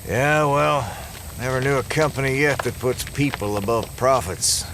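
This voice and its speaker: An actor playing a gruff, steady small-town American sheriff with a plain-spoken, folksy manner in an audio drama. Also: male voice, medium pitch, moderate pace, noisy background, close to an everyday voice. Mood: wry, grumbling. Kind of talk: full-cast audio drama acting. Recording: music bed under the dialogue.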